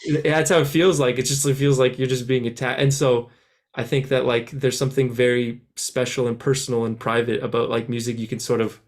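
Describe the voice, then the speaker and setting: Rambling musician answering at length. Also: male voice, medium pitch, flat delivery, fairly quick. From France, raised in Canada. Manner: rambling, many restarts and "like" fillers. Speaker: musician guest. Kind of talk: casual interview answers.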